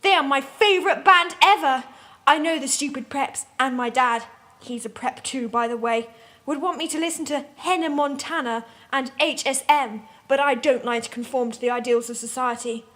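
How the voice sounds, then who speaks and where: A woman voicing an excitable, melodramatic teenage goth girl in love, in a comic dramatic reading. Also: high-pitched, normal speed. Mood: earnest chatter. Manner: excitable, exaggerated.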